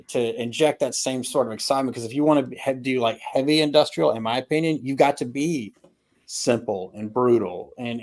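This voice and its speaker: A middle-aged man talks casually about music over a video call from a room at home. His delivery is halting and opinionated, with frequent throat clearing, at an ordinary male pitch. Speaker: album review panelist. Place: home room with records, indoors.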